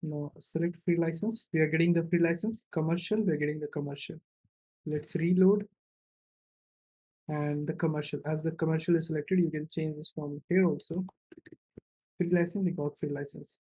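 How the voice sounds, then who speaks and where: A man's voice: a little high, steady tone, moderate pace, mellow, rough recording. A man giving a casual coding walkthrough as an instructor, thinking aloud and often checking in with a short questioning tag. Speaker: programming tutorial instructor.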